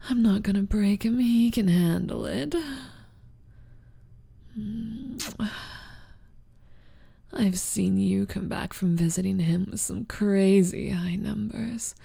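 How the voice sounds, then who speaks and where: Casting: female actor for smug seductive succubus rival, whispery, creaky, slow-paced ASMR roleplay. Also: mid-range voice, warm tone, no echo, heavily acted. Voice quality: breathy, whispery.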